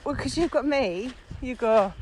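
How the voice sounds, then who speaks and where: A woman's voice: high voice, a little slow. Playful woman chatting on a walk. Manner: lively, questioning, playful. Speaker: podcast co-host. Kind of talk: casual walking chat. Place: open grassy field outdoors. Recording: outdoor noise, birds, wind.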